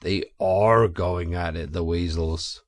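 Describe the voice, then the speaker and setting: Man voicing a low, commanding badger. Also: steady tone, takes it slowly, no echo. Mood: placid. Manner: placid, commanding. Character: gruff, steady, commanding older male badger leader. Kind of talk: audiobook character voice.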